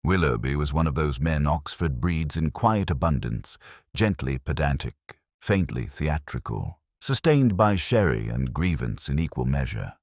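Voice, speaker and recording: A man reading a scripted first-person detective story as narrator, in a measured, melancholy, literary manner. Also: extremely low pitch, very animated, no echo, studio quality.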